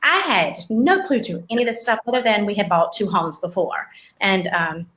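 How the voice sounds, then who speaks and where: A woman's voice: mid-range voice, expressive, normal speed, a bit bright. A woman hosting a casual live show, chatty and rambling, often laughing as she talks. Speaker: host.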